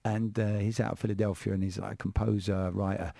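A man hosting a studio radio show, his voice sitting a little low for a man. He talks in loose, playful chat full of rambling asides. The sound is close and dry, with no room around it. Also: little pitch movement, fast, vocal fry, warm tone, dry recording. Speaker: radio host. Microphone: studio microphone.

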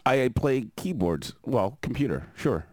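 A man from New York, a guest DJ on a radio show in a studio, laid-back, self-mocking and quick to laugh. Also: mid-range voice, sing-song, no room sound. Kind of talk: casual radio chat. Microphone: studio microphone.

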